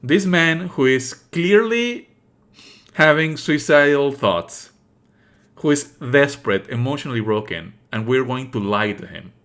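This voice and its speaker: A man's voice: mid-range voice, animated, a little slow, a bit bright. A man doing live let's play commentary as a streamer, emphatic, exasperated and prone to swearing.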